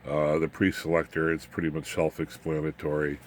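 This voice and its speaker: Deep-voiced man over audible background noise. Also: monotone. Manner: halting, muttering asides to himself while working. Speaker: hobbyist radio builder demonstrating his equipment. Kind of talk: informal unscripted hands-on demonstration. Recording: clear background noise, room ambience.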